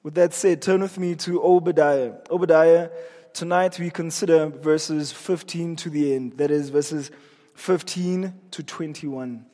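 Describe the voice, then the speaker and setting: A man's voice: fairly high pitch, fairly even intonation, unhurried, mellow. A man preaching an earnest evening sermon in a church, his voice scratchy and strained. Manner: earnest, repeats words when he restarts. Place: church hall. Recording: long reverb of a church hall.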